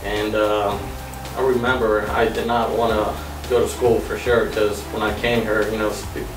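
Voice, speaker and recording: A man in his forties telling his own story haltingly in an interview, with many fillers. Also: lowish voice, level tone, background noise. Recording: roomy, distant mic, music underneath. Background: music.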